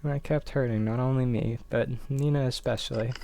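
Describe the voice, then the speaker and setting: A man's voice: mid-range voice, steady tone, fairly quick, gravelly, warm tone, a little hiss or hum, natural voice. A man voicing a frail, timid elderly man full of remorse in a visual novel. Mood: remorseful, grateful.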